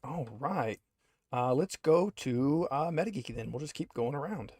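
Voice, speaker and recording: A man's voice: mid-range voice, expressive, normal speed, darkish tone, breathy edge, no room sound. Man hosting, casual, close dry sound. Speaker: game master and host. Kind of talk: casual introductions for a streamed tabletop game.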